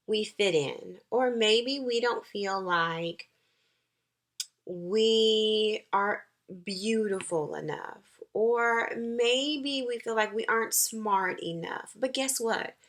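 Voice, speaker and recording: A woman speaks to young children as a nurturing school counselor. Her voice is bright and forward, at a medium pitch. She goes very slowly, stressing key phrases and pausing between them in an encouraging way.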